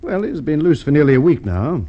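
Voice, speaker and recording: An actor playing a shrewd police inspector with an Australian accent, deliberate and courteous in the style of golden age radio drama. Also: male voice, fairly high pitch, very animated. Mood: courteous, probing. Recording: dull vintage radio drama recording.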